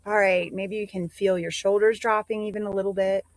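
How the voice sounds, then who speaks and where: A woman's voice: mid-range voice, level tone, no room sound. Woman leading a guided meditation. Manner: gentle instructive phrasing with pauses.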